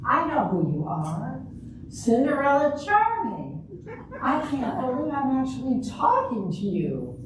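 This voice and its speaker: In a very reverberant, noisy hall, far from the microphone, an older woman plays a wistful divorcée in a stage comedy reading. She is chatty and deliberate, taking her lines slowly. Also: high voice, huge pitch swings, heavily acted. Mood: starstruck. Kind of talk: amateur readers' theater comedy scene.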